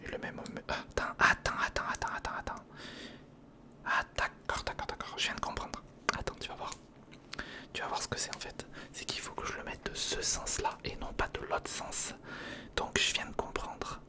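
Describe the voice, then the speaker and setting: Whispering casual French-language ASMR creator. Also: moderate pace. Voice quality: breathy, unvoiced whisper. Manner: casual, thinking aloud while assembling, repeats words. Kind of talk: ASMR, whispered build-along commentary. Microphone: close recorder microphone.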